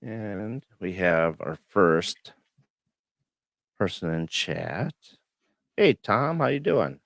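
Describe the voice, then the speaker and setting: A man hosting a casual livestream chat, a bit hoarse and froggy from the flu, talking in a relaxed, rambling way with many fillers. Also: low voice, very slow, dry recording, clean studio sound. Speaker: host. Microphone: studio microphone.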